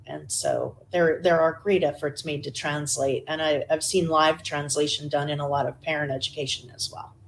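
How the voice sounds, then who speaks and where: This woman speaks in a fairly low voice and answers a moderator's questions at a candidate forum. She talks in an easy, relaxed way and fills her sentences with "um" and "uh". A faint hum of noise sits behind her. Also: moderate pace, hardly any pauses. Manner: relaxed, frequent fillers. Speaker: school board candidate. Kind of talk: forum answers, casual speech.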